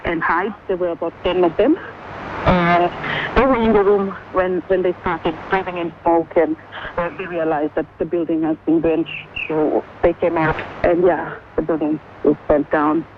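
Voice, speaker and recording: A woman correspondent reports in a plain, flat tone. She sounds thin and phone-like. Heavy shortwave hiss lies over her voice. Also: on the low side, moderate pace. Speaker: radio correspondent. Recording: tinny phone line over noisy shortwave.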